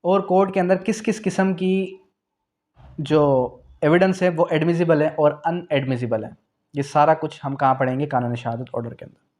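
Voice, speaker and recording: Higher-pitched Pakistani man lecturing. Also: steady tone, moderate pace, darkish tone. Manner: didactic, asks and answers own questions; repeats key phrases for emphasis. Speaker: law teacher. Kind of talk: exam revision lecture.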